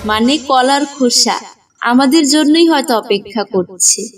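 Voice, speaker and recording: A woman voicing an earnest, sensible young schoolgirl in a cartoon, speaking in a measured, instructive way. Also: high-pitched, far from the mic, soft background music. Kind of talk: cartoon dialogue.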